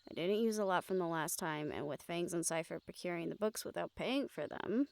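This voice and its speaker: A woman's voice: lowish voice, brisk, creaky. A woman playing an easygoing, helpful young travelling companion in a scripted audio roleplay, friendly and practical.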